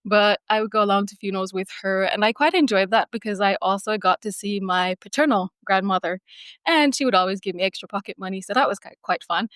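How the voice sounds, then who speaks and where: A woman from Jamaica tells her story as a podcast guest, relaxed and reflective, laughing now and then. Her voice is smooth and resonant. The sound is close and dry, with no room around it. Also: mid-range voice, fast, no room sound. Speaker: funeral director and embalmer, guest. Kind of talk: conversational interview storytelling.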